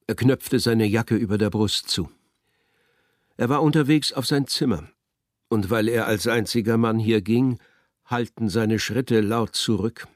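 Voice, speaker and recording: A man narrating a literary radio drama with measured, deliberate phrasing. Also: mid-range voice, no echo, studio quality.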